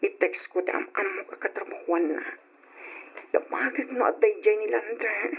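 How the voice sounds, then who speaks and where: A woman's voice: high voice, darkish tone, husky, exaggerated voice. A woman playing a dramatic, heartbroken young woman in an old radio drama, with an Ilocano accent and a whiny delivery. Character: dramatic heartbroken young woman, stubborn about quitting school. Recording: dull old radio recording.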